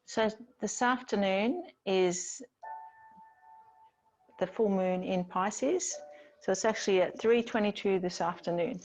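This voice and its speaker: A woman teaching yoga, giving gentle, soothing, unhurried guided instruction with pauses between phrases. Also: mid-range voice, soft background music, rough recording.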